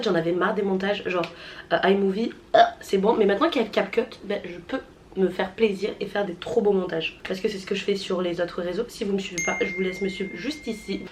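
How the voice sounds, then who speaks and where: A woman's voice: mid-range voice, noisy background. A woman in her late twenties from southern France, chatting casually in a vlog with many fillers and self-mocking asides. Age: late 20s. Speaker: vlogger. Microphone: handheld camera.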